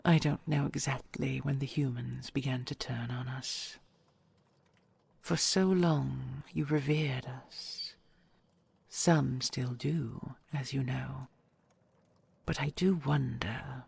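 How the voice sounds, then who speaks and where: A woman voicing an ancient dragon queen in an audio roleplay, tender and regal, speaking in a husky, breathy half-whisper with stately, intimate phrasing. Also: a bass voice, steady tone, a little mellow, full character voice. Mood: wistful, regretful. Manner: stately, measured, formal and intimate endearments.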